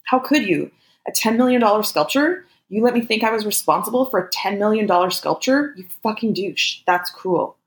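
A woman voicing a furious, frightened woman lashing out at her lover in a sharp, commanding way, as in an audiobook. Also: lowish voice, lively intonation, normal speed, few pauses, roomy sound, poor audio.

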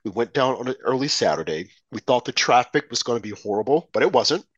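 A man co-hosting a political talk show, hoarse from a mild cold, telling rambling, animated stories. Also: medium pitch, fast, dry recording, highs cut off. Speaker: podcast co-host.

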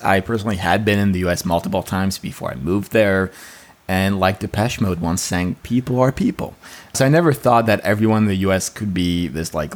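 Brief: young man, low-ish voice, easygoing podcast co-host, quick to laugh. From France. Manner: relaxed, joking, laughs often. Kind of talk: casual podcast chat.

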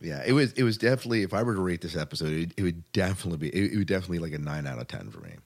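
A man hosting a casual podcast chat, halting and often repeating his words as he goes. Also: deep voice, animated. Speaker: podcast host.

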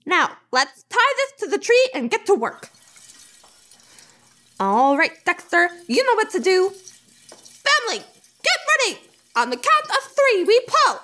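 A woman voicing a little male mouse sergeant who leads his family with brisk, commanding orders. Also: very high voice, sing-song, pushed and bright, heavily acted.

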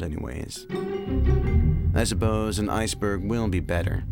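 A man narrates scripted fiction in a low-pitched voice. He reads as a storyteller, with a clear music bed behind the words.

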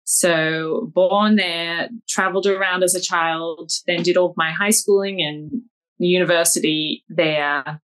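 A woman with an Australian accent, a guest author giving calm, measured, personal answers in an interview. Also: fairly low pitch, low-quality recording.

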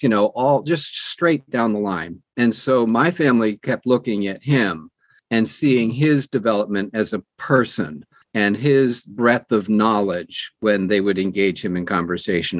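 A middle-aged or older American man telling personal stories in an animated, conversational way as an interview guest. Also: lowish voice, no room sound. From United States, New Jersey. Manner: animated, acts out quoted dialogue. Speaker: interview guest, audiobook narrator. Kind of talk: conversational storytelling.

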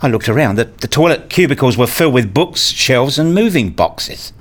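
A man's voice: mid-range voice, expressive, moderate pace, close to an everyday voice. An actor playing a weary, irritable academic who narrates his own day in a radio play, with a dry, sardonic manner. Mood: flustered. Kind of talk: scripted first-person narration, radio play.

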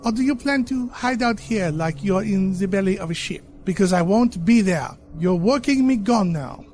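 A man voicing a sharp-tongued female ghostly ballerina in a spooky audio story, mocking and dismissive. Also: sits high, animated, moderate pace, faint music behind.

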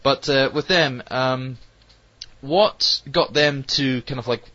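A man's voice: mid-range voice, a bit bright, no room sound. Rambling British man, dull lo-fi sound. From United Kingdom. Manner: rambling, halting. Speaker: podcast host. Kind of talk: casual interview chat. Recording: dull lo-fi audio.

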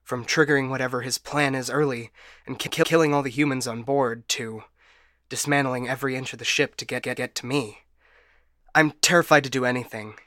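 A man's voice: mid-range voice, steady tone, brisk, pushed and bright, no echo, lightly acted. A man playing a broken, paranoid ship AI in a science fiction audio drama, stammering and getting stuck repeating words. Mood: fearful, hiding. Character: frightened, broken male ship AI, paranoid and unstable.

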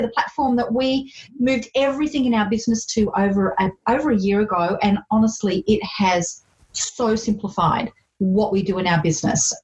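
A woman's voice: a little high, darkish tone, lo-fi sound. A woman hosting a podcast interview, chatting with an enthusiastic manner and laughing easily. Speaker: podcast host. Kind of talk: casual interview chat.

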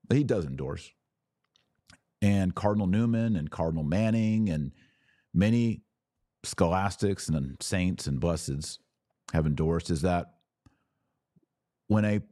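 An American man hosting a podcast, giving conversational commentary in an emphatic way with deliberate phrasing and pauses. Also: low voice, expressive, dark tone, dry recording, studio quality. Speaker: podcast host. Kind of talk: conversational commentary answering listener questions.

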